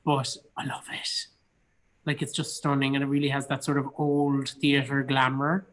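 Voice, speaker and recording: A man's voice: fairly high pitch, rough recording. A man with a Cork Irish accent, chatting casually as an interview guest in an emphatic way, repeating phrases for stress. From Cork, Ireland. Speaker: interview guest, stage actor. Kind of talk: casual chat. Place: remote online video chat.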